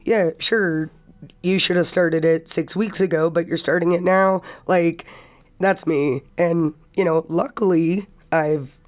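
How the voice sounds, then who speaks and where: A hoarse young woman, a student guest on a college radio interview, speaking earnestly and reflectively while sick. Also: on the low side, steady tone, unhurried. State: hoarse from illness. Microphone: studio microphone.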